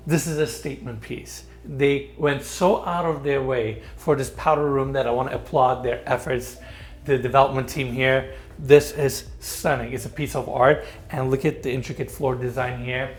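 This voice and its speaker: A man from Turkey with a Turkish accent guides viewers through a large house. His pitch sits in the middle, and his delivery is eager and showcasing. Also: steady tone, moderate pace. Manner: enthusiastic, showcasing. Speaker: host. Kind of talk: home tour presentation.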